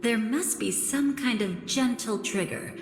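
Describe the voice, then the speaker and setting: A woman's voice: a little high, measured pace, heavy background noise. A woman voicing an enigmatic, elegant young woman in a video game, coolly playful and teasing, musing in a languid, coy, theatrical way. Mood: measured explaining. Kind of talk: scripted video game voice acting. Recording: roomy long reverb with music behind.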